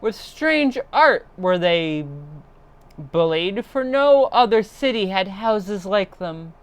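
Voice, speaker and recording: A woman reading a literary horror story aloud in a solemn, deliberate, dramatic storytelling style. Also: medium pitch, expressive, clean tone.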